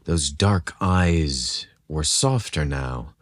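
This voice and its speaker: A man narrating a scripted romantic story in an intimate, unhurried way. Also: rumbling low, expressive, no room sound, studio quality.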